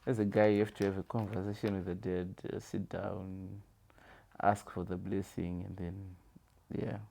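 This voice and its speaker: A man from Zimbabwe with a Zimbabwean accent, chatting casually and thinking aloud in halting, repeated phrases. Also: sits low, flat delivery, creaky, mellow. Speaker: quiz contestant on a family vlog. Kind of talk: casual chat.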